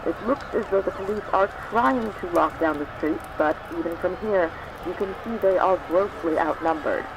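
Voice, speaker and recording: A man's voice: high voice, normal speed. An actor playing a female TV news reporter in a helicopter, with urgent live-report delivery in an audio drama. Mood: tense reporting. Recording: clear vehicle noise behind voice.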